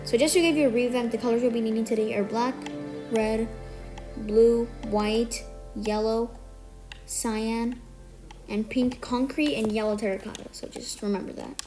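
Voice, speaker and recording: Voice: mid-range voice, steady tone, moderate pace, a little mellow, poor audio. A tutorial maker who is a bit sick today talks step by step through a building tutorial, counting out blocks and correcting small slips along the way. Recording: music playing under the voice.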